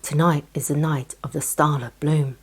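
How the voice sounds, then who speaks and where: Woman as a slow, kind old storybook tortoise. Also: sits low, steady tone, darkish tone, breathy edge. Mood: kindly, calm. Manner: slow, kindly, unhurried. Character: kind, calm old male tortoise, wise and gentle.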